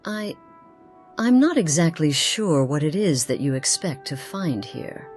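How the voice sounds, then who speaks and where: A woman playing a composed, formal noblewoman haunted by loss in a fantasy game, with deliberate, archaic phrasing. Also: low-pitched, big pitch swings, a little mellow. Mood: sorrowful, hesitant. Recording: faint music behind.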